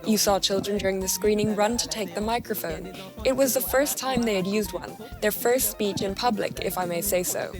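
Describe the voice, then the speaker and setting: Woman, medium pitch, scripted report voice-over, clear music underneath. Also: brisk. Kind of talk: translated voice-over for a news report.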